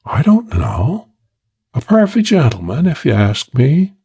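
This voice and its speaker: Casting: male reader as young female switchboard operator, hardboiled audiobook, raised pitch, sassy, slangy, put-upon and sighing. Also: huge pitch swings, unhurried, mellow, slightly rough, full character voice. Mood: obliging. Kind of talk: audiobook character voice for hardboiled detective story.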